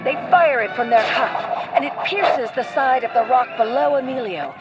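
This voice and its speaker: A woman's voice: high-pitched, moderate pace, natural voice, low-quality recording. A woman narrator reading scripted adventure storytelling in a theatrical, literary manner. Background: music.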